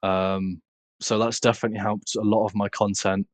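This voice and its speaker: A nineteen-year-old man with a British accent, speaking casually and haltingly as an interview guest. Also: fairly low pitch, little pitch movement, dry recording. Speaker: podcast guest, content creator.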